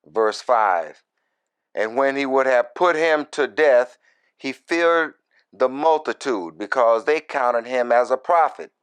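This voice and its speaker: A man who is a minister reads scripture verse by verse and explains it in deliberate, broken short phrases with folksy, informal asides. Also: mid-range voice, lively intonation, clear, resonant tone. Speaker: minister, Bible teacher.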